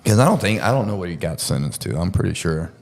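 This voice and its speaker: A man's voice: deep voice, very animated, quick pace, gravelly, a little mellow. A man chatting casually about true crime in a blunt, profane way.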